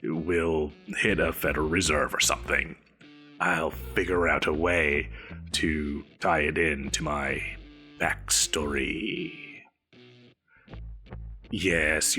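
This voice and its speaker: A man's voice: a bass voice, fairly even intonation, slow, pushed and bright, faint music behind, exaggerated voice. A man playing a petulant, theatrical supervillain boss in a comedic audio roleplay, with a pompous, melodramatic manner. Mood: sheepish, resigned. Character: petulant, theatrical male supervillain boss, grandiose but exasperated.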